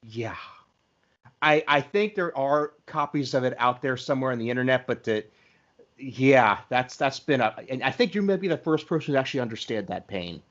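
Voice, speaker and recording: A man's voice: mid-range voice, lively intonation, normal speed, a bit bright, lo-fi sound. A man hosting a podcast in casual conversation, talking like an enthusiastic fan telling stories. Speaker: podcast host. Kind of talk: casual interview chat.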